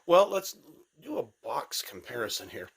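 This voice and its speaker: A man's voice: mid-range voice, very animated, moderate pace, vocal fry, slightly rough. A middle-aged man with a stuffy, congested voice, casually showing his collection and rambling with fillers and restarts. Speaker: toy collector presenting. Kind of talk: casual show-and-tell.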